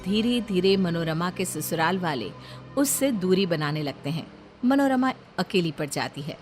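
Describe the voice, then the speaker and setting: A woman's voice: fairly low pitch, lively intonation, unhurried, faint music behind. A woman narrator reading a scripted moral story.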